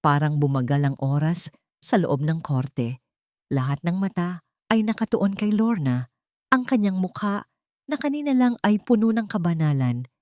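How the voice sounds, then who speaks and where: A woman's voice: fairly low pitch, a little mellow, no echo, studio quality. A woman narrating an audio drama as a scripted, dramatic story.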